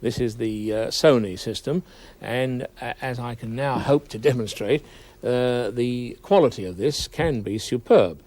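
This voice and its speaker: A man giving a measured technical explanation as an interviewed audio expert. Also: medium pitch, darkish tone.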